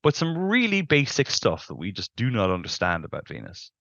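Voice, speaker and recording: Fast-talking man, low voice, dry close sound. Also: huge pitch swings, creaky, fairly bright tone, no room sound, dull audio. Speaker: planetary scientist, interview guest. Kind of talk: explanatory interview talk. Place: indoors on a video call. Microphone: headset.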